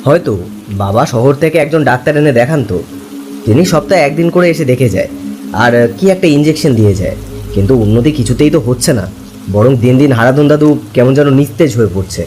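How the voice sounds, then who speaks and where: A caring young man voiced by a male actor who talks quickly and familiarly to his friend. His voice is medium-pitched, chatty cartoon dialogue with faint music behind. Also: natural voice. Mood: explaining, concerned.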